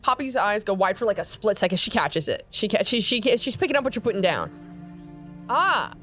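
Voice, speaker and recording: A woman's voice: mid-range voice, expressive, fast, a bit bright, soft background music. A woman playing a tabletop roleplaying game, casually describing her character with stammering restarts.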